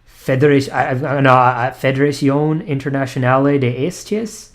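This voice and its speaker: A young man at a medium pitch talks through an online chess game, half to himself. He trails off into ums, restarts his sentences and makes fun of his own play. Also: moderate pace. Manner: self-deprecating, muttering analysis with frequent ums and restarts. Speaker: chess streamer. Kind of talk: thinking aloud while playing blitz chess online.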